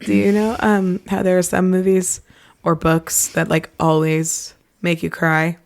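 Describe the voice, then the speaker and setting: A woman's voice: lowish voice, completely flat delivery, a little slow, gravelly. A woman co-hosting a podcast in casual, playful chat while she is losing her voice. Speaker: podcast co-host.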